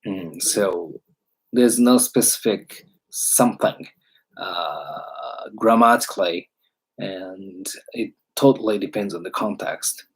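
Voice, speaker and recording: A low, husky man answers viewers' questions in a livestream. He explains in halting bursts as he thinks aloud, with plenty of fillers along the way. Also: low-quality recording. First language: Japanese. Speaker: English teacher.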